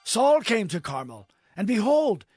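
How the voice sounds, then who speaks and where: An actor playing an excited messenger reporting news in a dramatized audio Bible, in an animated, declamatory way. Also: male voice, high-pitched, unhurried, soft background music. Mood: urgent.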